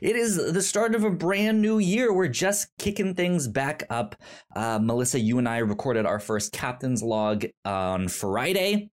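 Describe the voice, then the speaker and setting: A man's voice: medium pitch, animated. Stammering man hosting a chatty podcast. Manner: halting, many fillers and stammered restarts. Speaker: podcast host. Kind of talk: casual chat.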